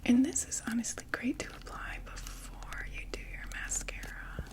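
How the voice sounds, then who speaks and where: A woman whispering a gentle, soothing ASMR roleplay as a friendly makeup saleswoman, often repeating words softly. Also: moderate pace. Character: friendly female vampire door-to-door makeup saleswoman.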